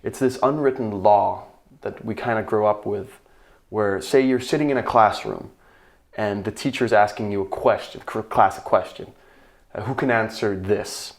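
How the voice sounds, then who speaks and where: A man's voice: fairly low pitch, moderate pace, vocal fry. Danish man, reflective podcast guest. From Denmark. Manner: reflective, searching for words, laughs easily. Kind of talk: casual conversation.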